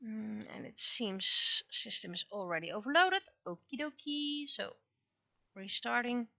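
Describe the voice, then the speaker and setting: Woman, casual streamer, bright voice, noticeable vocal fry, halting self-talk, dry close sound, lo-fi recording. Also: a little high, expressive, no echo. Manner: muttering to self, halting, thinking aloud. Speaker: livestreamer, webcomic artist. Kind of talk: casual livestream chat.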